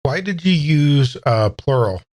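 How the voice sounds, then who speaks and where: A man's voice: on the high side, expressive, unhurried, a little mellow. A man in casual banter as a player on a game podcast, talking in a mock-pedantic way.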